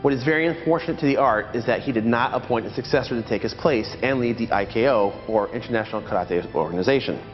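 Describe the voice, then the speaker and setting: A man hosting a martial arts channel, giving a scripted, measured and earnest documentary narration. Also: medium pitch, in a large hall, lo-fi sound, faint music behind. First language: not Japanese.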